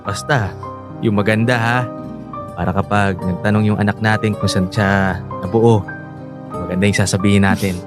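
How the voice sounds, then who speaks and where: An actor playing an upbeat, reassuring young husband in a Filipino radio drama, coaxing and encouraging with a Filipino accent. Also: male voice, lowish voice, steady tone, normal speed, long pauses, lightly acted. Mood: soothing, coaxing. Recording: music bed under the voice.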